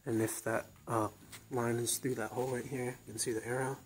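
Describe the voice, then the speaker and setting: A man's voice: medium pitch, level tone, fairly quick, a little hiss or hum, rough recording. A man giving casual, plain-spoken how-to narration while he rebuilds an engine in his home garage, thinking aloud as he goes. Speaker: DIY motorcycle mechanic. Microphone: head-mounted action camera. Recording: music clearly audible under the voice.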